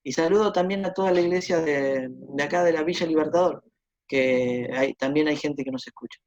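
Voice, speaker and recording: A man's voice: fairly high pitch, moderate pace, a little mellow. A man, a Bible teacher, sending hesitant greetings and leading a prayer. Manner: hesitant, repeats words, earnest. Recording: dull lo-fi sound.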